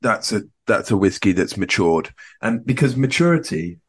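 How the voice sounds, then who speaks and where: A young man from Scotland co-hosting a podcast in casual, rambling chat that often trails off quietly. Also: on the low side, very animated, moderate pace, breathy edge. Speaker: podcast co-host.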